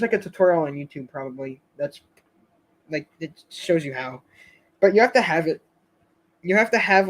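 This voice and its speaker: A man with a somewhat high voice hosts a casual live stream. He rambles and hesitates, restarting his sentences and filling the gaps with fillers. His voice is getting a little hoarse. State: slightly hoarse, losing his voice.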